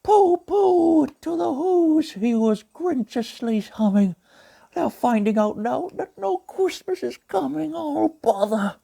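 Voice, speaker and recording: A man voicing a gentle, childlike, honey-loving cartoon bear who reads a storybook in a husky, polite, fretting manner. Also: extremely high pitch, expressive, takes it slowly, pitched above the performer's own voice, full character voice. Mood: fretful, gentle. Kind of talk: storybook reading as cartoon impression.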